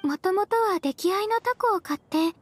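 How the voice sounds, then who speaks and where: High-voiced, gentle, polite young lady. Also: moderate pace, faint music behind. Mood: earnest, modest. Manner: soft-spoken, formal, hesitant politeness. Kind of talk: Japanese anime-style game voice acting.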